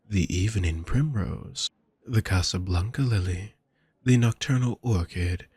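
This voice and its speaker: A courtly male vampire shopkeeper, acted out by a man for an intimate roleplay audio drama. He speaks very slowly in a low voice, doting and teasing with formal, flowery turns of phrase. The sound is dry and close, with a husky grain. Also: a little mellow, dry recording. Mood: wistful, seductive.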